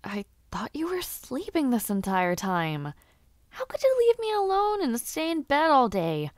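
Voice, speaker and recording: A young woman playing a lively noblewoman and aspiring knight in a fantasy audio roleplay, chatting to the listener in a teasing, expressive, conversational way. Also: sits high, measured pace, no echo, studio quality, exaggerated voice. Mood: playful, chiding.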